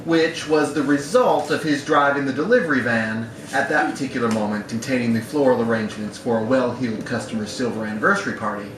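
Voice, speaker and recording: A man's voice: mid-range voice, fast, hardly any pauses. A man giving a wry live reading of a literary short story, performed as a storyteller. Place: bar room at a live event. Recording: echoey, noisy live room, distant mic.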